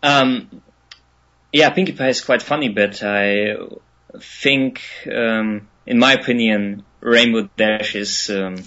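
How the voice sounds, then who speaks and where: Young German man, slow deliberate pace, lowish voice, hesitant with many fillers, dull lo-fi sound. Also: fairly even intonation, sharp tone. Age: 20s. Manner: hesitant, many ums and uhs, laughs often. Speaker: interview guest, musician. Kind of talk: casual interview answers. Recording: lo-fi online radio call.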